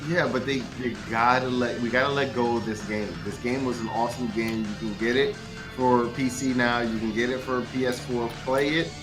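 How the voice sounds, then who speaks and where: A man's voice: medium pitch, fairly even intonation, a little fast, over music. A man on a casual gaming podcast panel, arguing bluntly and repeating himself, with some swearing. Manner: opinionated, blunt, repetitive, swearing.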